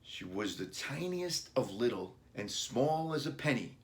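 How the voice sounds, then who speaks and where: A man's voice: mid-range voice, sing-song, unhurried. A man voicing a friendly, helpful pet store clerk in a children's storybook, polite and explanatory.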